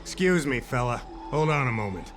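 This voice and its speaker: A man playing an earnest, courteous town official in a game, speaking in a measured manner. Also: mid-range voice, expressive, over music, lightly acted. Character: polite, earnest male townsman official. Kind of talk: English game voice acting.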